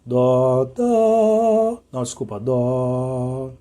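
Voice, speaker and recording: A hoarse man teaching musical intervals, singing note names to demonstrate them and checking in often with short questions. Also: medium pitch, drawn-out words, warm tone. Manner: sings interval notes to show them. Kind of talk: sung and spoken music lesson.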